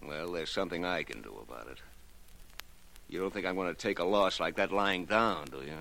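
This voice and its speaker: Broke angry investor in a 40s radio play, hard bright voice, threatening. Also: male voice, low voice, expressive, fairly quick, vocal fry, a little hiss or hum, exaggerated voice, poor audio. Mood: quiet menace. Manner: forceful, accusing. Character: bitter ruined investor, a menacing man out for payback. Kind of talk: 1940s radio drama acting.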